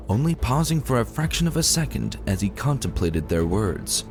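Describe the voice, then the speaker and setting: A man giving a dramatic, scripted narration of a dark fantasy adventure story. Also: sits low. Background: music.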